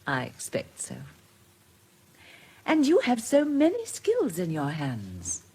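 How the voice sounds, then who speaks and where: An actress playing a gentle, independent middle-aged British woman in a period radio drama, speaking earnestly and tenderly with careful articulation. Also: on the low side, huge pitch swings, takes it slowly, noisy background, exaggerated voice. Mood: tender, probing. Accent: British English.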